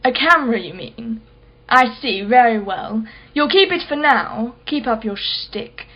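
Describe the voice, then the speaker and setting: An actress playing a haughty, sharp-tongued wealthy art collector in a radio play, speaking in an articulate, imperious and sardonic way. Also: female voice, on the high side, lively intonation, measured pace, highs cut off. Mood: disdainful.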